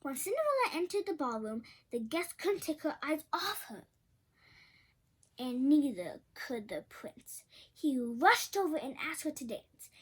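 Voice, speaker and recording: A woman reading a fairy tale aloud expressively, with chatty asides and stumbles over words. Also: high voice. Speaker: storybook reader.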